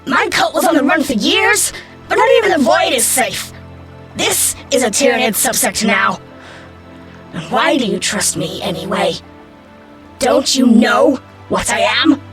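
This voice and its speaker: A woman's voice: high-pitched, expressive, a little slow, over music. A woman playing a world-weary, fatalistic female alien hybrid engineer in a science fiction audio story, sardonic and despairing. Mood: bitter, agitated. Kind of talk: dramatic audio story acting.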